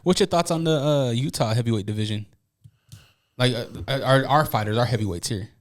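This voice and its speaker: A man's voice: medium pitch, brisk. An American man hosting a podcast, asking questions in an informal, slangy way. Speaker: podcast host, interviewer. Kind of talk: casual podcast chat.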